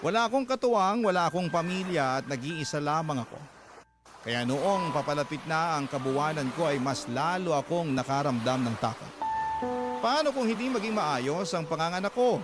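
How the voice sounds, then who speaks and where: Guy narrating a sad letter for radio drama, voice a bit high, music playing behind. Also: expressive, moderate pace. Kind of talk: emotional first-person scripted narration. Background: clear background music.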